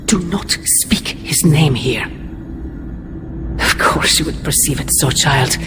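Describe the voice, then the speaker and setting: A woman's voice: low-pitched, slow. A woman voicing an ancient, imperious sorceress mother in a video game, speaking in grandiose, formal, ominous phrases. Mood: stern anger. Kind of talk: dramatic video game cutscene acting. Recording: loud music underneath.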